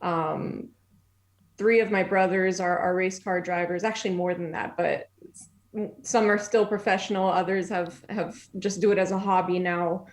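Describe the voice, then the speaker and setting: A woman's voice: fairly low pitch, steady tone, moderate pace. A woman in her twenties, born in Germany and raised in France and Brazil, chatting as a podcast guest and laughing easily. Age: 20s. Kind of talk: casual interview chat.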